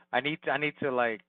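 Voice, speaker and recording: A man's voice: medium pitch, no room sound. A man on a headset who sounds flustered and self-conscious as he checks his own mic. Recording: tinny, dry headset line.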